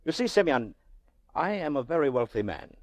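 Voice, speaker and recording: A man playing a haughty, very wealthy middle-aged gentleman in an old-time radio drama, speaking in pompous rhetorical flourishes with drawn-out emphasis. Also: a little high, sing-song, dry recording. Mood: proud, challenging. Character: haughty, very wealthy Russian gentleman, goading and theatrical.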